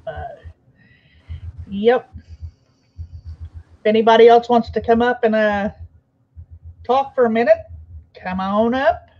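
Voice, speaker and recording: A woman's voice: fairly high pitch, slow, long pauses, darkish tone. Woman stream host, casual and laid-back, on a dull lo-fi mic. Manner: laid-back, laughs often. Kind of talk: casual chat.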